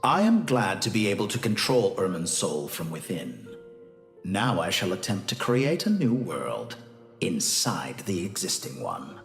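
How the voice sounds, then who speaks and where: Pompous mad scientist villain from a game, deep, really animated delivery, music behind. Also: male voice, reverberant, full character voice. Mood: grandiose. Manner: pompous, deliberate, theatrical. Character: arrogant mad scientist villain, calm, theatrical, obsessed with experiments. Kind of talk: video game villain acting.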